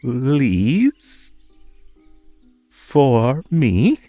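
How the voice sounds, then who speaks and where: Man acting a slow, sugary, possessive magical host. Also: medium pitch, very animated, mellow, no echo, soft background music, exaggerated voice. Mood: coaxing. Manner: halting, drawn-out, over-polite, swinging between coaxing and stern. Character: possessive magical tea-party host, sugary polite, menacing, unhinged. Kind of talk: scripted roleplay audio drama.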